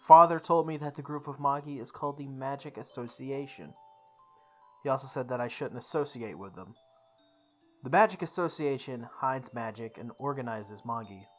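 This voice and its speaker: Visual novel playthrough narrator, medium pitch, deliberate read-aloud delivery with small stumbles, music underneath. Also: a bit bright. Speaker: let's play host reading a visual novel aloud. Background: background music.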